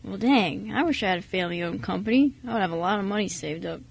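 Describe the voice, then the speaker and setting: A husky, creaky voice from a woman playing a kindly male mechanic in his thirties for a radio play. He talks casually and a little awkwardly, hesitant and self-effacing. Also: medium pitch, expressive, fast. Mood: mild, wistful. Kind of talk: amateur radio drama dialogue.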